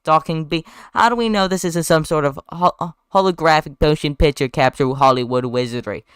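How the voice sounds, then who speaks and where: A man performs a pompous Southern lawyer in a comic script reading. The drawl is thick and exaggerated, the delivery loud and grandly theatrical. The sound is clean and dry. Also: fairly high pitch, clean tone, no echo, pitched above the performer's own voice, natural voice. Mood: indignant. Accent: exaggerated American Southern. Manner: slow, heavy drawl with grandiose oratory.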